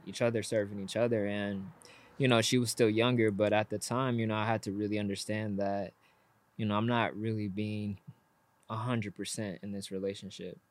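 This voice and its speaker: A man in casual conversation, talking reflectively and in a measured way, often saying "you know" and "like.". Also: fairly low pitch, dry recording.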